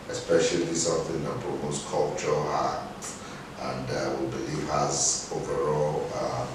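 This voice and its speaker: A Nigerian executive speaks formally in an extremely deep voice, halting between phrases. The sound is very echoey and distant, with noticeable noise around him. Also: male voice. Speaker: bank executive director, event sponsor. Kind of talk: formal interview remarks. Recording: distant mic, very echoey noisy room.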